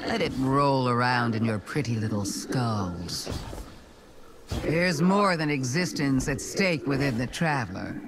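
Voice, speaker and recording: A woman voicing a sly, taunting alien witch queen in a video game, with grand, drawn-out, mocking delivery. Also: very deep voice, expressive, heavy background noise, exaggerated voice. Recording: loud music behind the voice.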